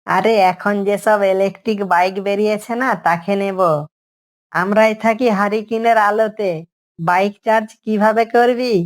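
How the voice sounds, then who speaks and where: A woman's voice: mid-range voice, steady tone, moderate pace, lightly acted. A woman voicing a cocky, scheming fox in a cartoon story. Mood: eager. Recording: music under the voice. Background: background music.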